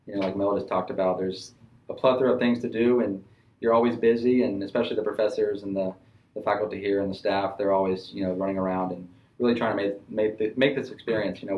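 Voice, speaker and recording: A man's voice: lowish voice, dark tone, poor audio. A young man hosting a casual podcast, introducing guests and asking questions with many fillers and restarts. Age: young adult. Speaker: podcast host.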